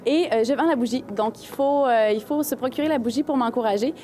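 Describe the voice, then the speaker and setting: A young woman from Quebec with a Quebec French accent, answering an interview clearly and poised as a carnival duchess in a shopping mall. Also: fairly high pitch, brisk, mellow. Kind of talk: interview in public place. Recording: crowd noise in mall. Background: crowd chatter.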